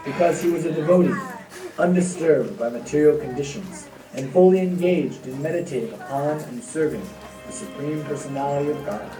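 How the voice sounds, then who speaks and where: Low-voiced woman narrator, echoey. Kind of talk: scripted storybook narration. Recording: echoey, distant, music underneath. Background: music.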